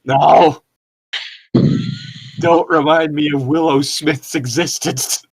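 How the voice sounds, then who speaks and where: A man's voice: fairly high pitch, fairly even intonation, takes it slowly, gravelly, husky. A man reading aloud for comedy and adding wry, mocking asides in casual banter. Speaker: co-host reading and commenting.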